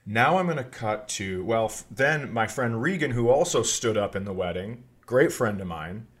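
A man's voice: on the low side, lively intonation, normal speed. A middle-aged man and podcast host telling casual comic stories in a rambling, profane way.

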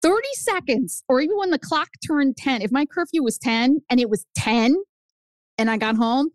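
Animated woman telling her own story. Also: normal speed, no echo. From United States. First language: Spanish. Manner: animated, swings up high for emphasis. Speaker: guest author. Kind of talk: personal storytelling in an interview.

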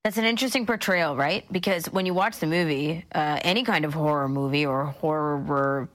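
A woman with a notably low voice co-hosts a discussion. She speaks conversationally, offering comments and questions in a casual style. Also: moderate pace, a bit bright. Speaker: co-host.

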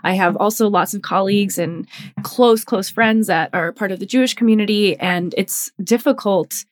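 A woman co-hosting a podcast who works in healthcare, speaking earnestly and haltingly as she searches for words. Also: medium pitch. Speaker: podcast co-host, healthcare worker. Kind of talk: casual podcast chat.

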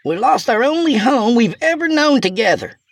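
Brash, scrappy storybook country woman, acted by a man, high-pitched with creaky edges, bossy and sarcastic. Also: sing-song, moderate pace, no echo, full character voice. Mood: insistent. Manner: folksy, bossy, insulting.

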